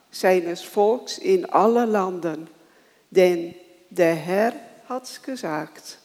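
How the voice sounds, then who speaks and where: Slow, halting worship leader in a reverberant hall. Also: mid-range voice, a little mellow. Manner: slow, halting delivery with grammar slips. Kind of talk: church welcome, prayer and scripture reading.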